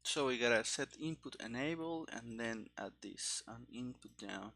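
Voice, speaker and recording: A man thinking aloud in a casual, halting mutter as he programs, talking partly to himself. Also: medium pitch, lively intonation, gravelly, pushed and bright, a little hiss or hum. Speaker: programmer narrating live coding. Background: computer keyboard typing and clicking.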